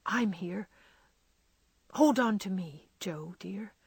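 A woman voicing a kind, loyal young man who talks gently and often drops to a whisper. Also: lowish voice, animated, normal speed, dry recording, studio quality. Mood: tender.

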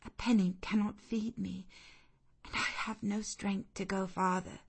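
A woman's voice: medium pitch, level tone, unhurried, gravelly, slightly rough. A woman voicing a desperate young woman wanderer who pleads for shelter. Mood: pleading.

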